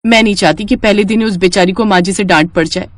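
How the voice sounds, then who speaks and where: A woman's voice: medium pitch, quick pace, slight background noise, voice pushed down, close to an everyday voice. A woman voicing a dutiful, earnest, fussing young sister-in-law in a Hindi cartoon story. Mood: concerned. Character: dutiful, protective elder sister-in-law, young Indian housewife.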